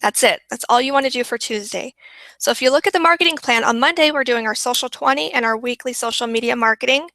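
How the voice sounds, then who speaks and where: Upbeat woman teaching a webinar, voice fading from a long week, crisp bright tone, dead-dry sound. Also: fairly high pitch, dry recording. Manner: upbeat, repeats for emphasis. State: losing her voice. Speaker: webinar presenter.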